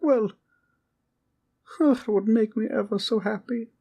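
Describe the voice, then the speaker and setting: A woman's voice: fairly high pitch, slow, dark tone, slightly rough, heavily acted. A woman voicing a small, kindly teddy bear guardian in a comfort audio roleplay, sweet and polite with courtly, old-fashioned wording and a soothing bedtime manner. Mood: warm, playful.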